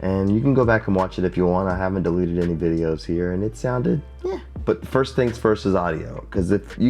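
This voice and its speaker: A man with a deep voice giving a plain-spoken, casual tutorial as a video creator, talking into a lav mic at a desk at home and repeating points for emphasis. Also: creaky, darkish tone. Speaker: YouTube creator teaching video basics. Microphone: lav mic, wired then wireless.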